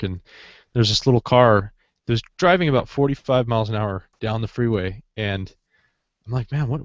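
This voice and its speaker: A man's voice: lowish voice, no room sound. A man hosting a podcast in casual, informal chat, recorded on slightly dull audio. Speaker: podcast host.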